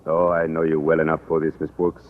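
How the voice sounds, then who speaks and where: A man's voice: a bass voice, completely flat delivery, quick pace. An actor playing an amorous, earnest middle-aged Frenchman with a French accent and broken English, in radio sitcom style. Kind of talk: 1950s radio sitcom acting. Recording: tinny hissy old radio broadcast.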